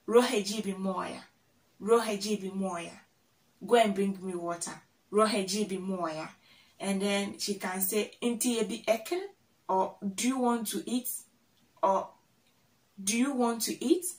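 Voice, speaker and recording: A young woman from Ghana talking to camera in a vlog, slowly drilling words and repeating each one several times. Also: medium pitch, darkish tone, far from the mic. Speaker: vlogger. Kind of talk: casual teaching to camera. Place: small room indoors, plain wall. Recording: echoey room, voice off-mic.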